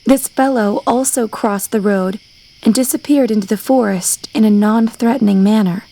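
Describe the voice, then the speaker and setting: A woman's voice: on the high side, steady tone, moderate pace, mellow, poor audio. A woman reading a scripted scary story as its narrator.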